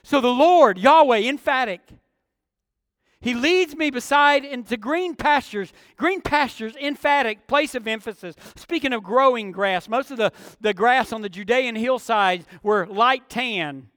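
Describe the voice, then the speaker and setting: A man's voice: very high voice, animated, measured pace, fairly bright tone, no room sound, clean studio sound, big-room sound. A man preaching an expository sermon, with emphatic phrasing and repetition for effect.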